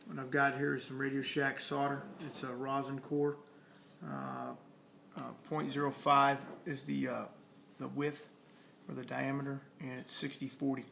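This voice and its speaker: Guy doing a casual repair how-to, monotone, lots of vocal fry, talks while working, slight background noise. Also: medium pitch, normal speed. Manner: plainspoken, step-by-step, talking while working. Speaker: electronics hobbyist demonstrating a repair. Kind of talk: casual hands-on tutorial narration.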